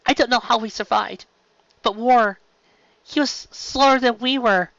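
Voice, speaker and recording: A man's voice: extremely high pitch, measured pace, creaky, fairly bright tone, no room sound, lo-fi sound, pitched above the performer's own voice, full character voice. A man voicing a weary, shaken crew member reporting on a disaster in a science fiction story.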